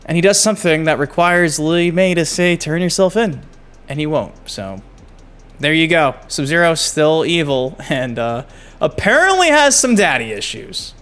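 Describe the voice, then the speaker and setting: A man hosting a gaming channel, giving excited casual commentary and swearing freely. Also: on the high side, normal speed, clear, resonant tone. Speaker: gaming YouTube host. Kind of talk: casual commentary on game clips.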